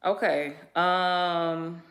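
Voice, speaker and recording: Chatty bright-voiced woman vlogger. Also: lowish voice, steady tone, very slow. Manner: chatty, laid-back, lots of fillers and slang. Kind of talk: casual chat with a friend.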